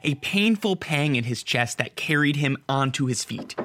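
A man's voice: medium pitch, lively intonation, moderate pace, a bit bright, slight background noise. A man narrating a scripted radio drama as a storyteller.

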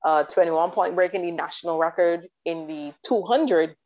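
A woman from Barbados chatting about sports in detail, enthusiastic and quick to laugh. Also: lowish voice, darkish tone. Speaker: guest commentator. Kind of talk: casual sports chat. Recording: dull lo-fi audio.

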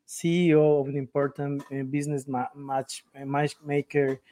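A man hosts a radio interview in a somewhat high voice. He is eager and quick to exclaim wow or laugh along. He often repeats a word or two before his questions come out. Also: monotone, warm tone. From Ecuador. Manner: repeats words when starting a question. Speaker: radio show host. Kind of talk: casual interview.

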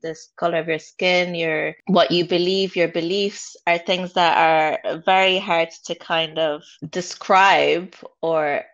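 A woman's voice: lowish voice, fairly even intonation, takes it slowly, hardly any pauses, a bit bright. An Irish woman speaking as a podcast guest, talking earnestly and thinking aloud with frequent restarts. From Ireland. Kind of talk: reflective personal conversation. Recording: dull lo-fi sound.

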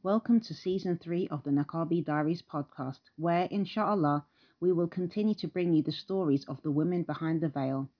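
A woman's voice: sits low, moderate pace, no room sound, muffled recording. A woman hosting a podcast, introducing the show and interviewing a guest in a conversational way.

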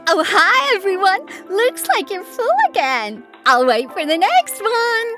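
An actress playing a chirpy office worker who chatters breathlessly without pausing between sentences, in a campy audio drama. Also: female voice, very high voice, big pitch swings, over music, heavily acted. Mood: perky.

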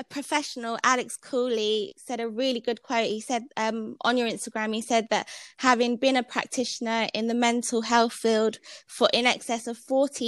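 A woman hosting a podcast, interviewing a guest on a call, bubbly and quick to giggle. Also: fairly high pitch, a bit bright, clear, resonant tone, dry recording. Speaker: podcast host. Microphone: remote call.

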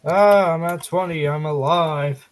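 A man's voice: fairly high pitch, unhurried. A male player chatting casually during a tabletop role-playing game.

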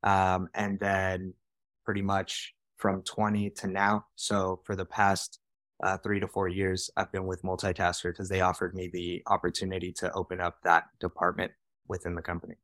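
Quick-talking young man telling his story. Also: sits low, monotone, a bit bright, dry recording. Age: 20s. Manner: quick, casual, peppers talk with "like" and "right?". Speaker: podcast guest, real estate director. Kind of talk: conversational storytelling.

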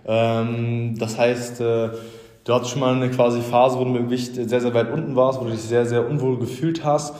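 A man's voice: mid-range voice, flat delivery, moderate pace, darkish tone. Rambling male coach in an echoey office. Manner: rambling, repeats words, many fillers. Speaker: fitness coach and host. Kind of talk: casual interview. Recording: echoey room with long reverb.

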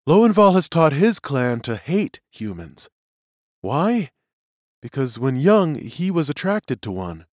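A man performs an earnest fantasy prisoner with a lightly raised voice. He sounds gentle and sincere as he reasons his case in a storybook court. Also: on the high side, no echo, studio quality, pitched above the performer's own voice. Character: earnest, gentle male prisoner sympathetic to humans.

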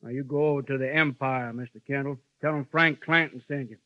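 An actor playing a folksy, controlling frontier sheriff in a 1950s radio western, laconic and authoritative, with an American western accent. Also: male voice, mid-range voice, fairly even intonation, fairly quick, dry recording, lightly acted. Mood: wary, authoritative. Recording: muffled, dry vintage radio broadcast.

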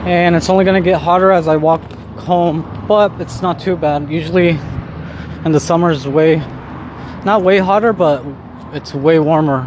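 A man in his thirties, born in Mexico and raised in Southern California, talking to himself in a loose, rambling way with lots of fillers while walking home. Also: high-pitched. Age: 30s. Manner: rambling, frequent fillers and restarts, self-deprecating. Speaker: vlogger. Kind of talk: casual walking monologue. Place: outdoors on a street, walking home. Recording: outdoor street ambience with traffic. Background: faint street and traffic noise.

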